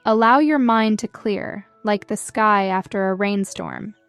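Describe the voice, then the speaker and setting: A woman leads a scripted guided meditation with a smooth, clear voice at a medium pitch. Her delivery is measured and soothing, giving gentle instructions. The sound is dry and close, with music playing behind her. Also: dry recording. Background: background music.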